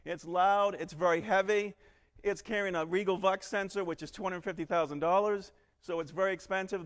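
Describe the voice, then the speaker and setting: A man's voice: high-pitched, a bit bright. Man, from northern Alabama, jokey presenter, chatty, conference hall. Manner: joking, quick and chatty. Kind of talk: technical conference talk. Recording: long hall reverb.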